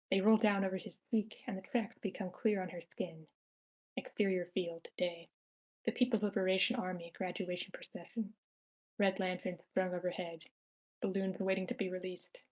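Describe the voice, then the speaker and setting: A woman's voice: fairly low pitch, dull audio. A woman reading out scripted stage directions as the narrator at a screenplay table read.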